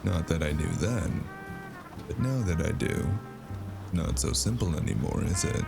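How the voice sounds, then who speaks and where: A man acting as a haunted, world-weary noir drifter with a very low, gravelly voice. He broods and delivers sardonic lines in an audio drama, with loud music behind him. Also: expressive, a little slow, full character voice. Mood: wry, weary. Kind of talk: noir audio drama with first-person narration. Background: loud cinematic music.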